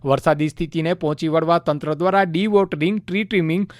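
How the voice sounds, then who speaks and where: A man's voice: a little high, quick pace, no echo, studio quality. A man narrating a scripted radio news report as a correspondent.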